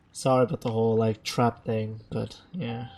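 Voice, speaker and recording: A man's voice: mid-range voice, flat delivery, unhurried. A young man giving casual, slangy, rambling commentary as he plays a game, often trailing off mid-thought. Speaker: streamer playing a story game. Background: game music.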